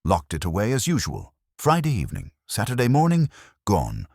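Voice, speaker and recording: A man's voice: lowish voice, wildly swooping pitch, normal speed, darkish tone, dry recording, studio quality, pitched above the performer's own voice, exaggerated voice. A man voicing a nervous, fussily precise small-town mayor in a storybook mystery. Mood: flustered, guarded. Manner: nervous, over-rehearsed, precise.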